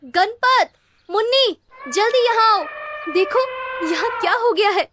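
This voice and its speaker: Woman acting a high-voiced village mother. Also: expressive, a little slow, background noise. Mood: excited. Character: kind, devout, strict village mother. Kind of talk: children's cartoon story voice acting.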